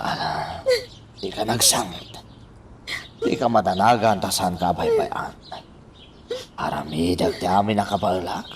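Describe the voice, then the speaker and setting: A man's voice: fairly low pitch, recorded from a distance, background noise. A man playing a scheming accomplice in a radio drama, coaxing and reassuring.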